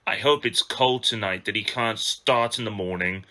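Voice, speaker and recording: A man's voice: lowish voice, normal speed, bright, forceful tone. A man voicing a suspicious, grumpy steam engine in a children's storybook, grumbling with scorn. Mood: scornful.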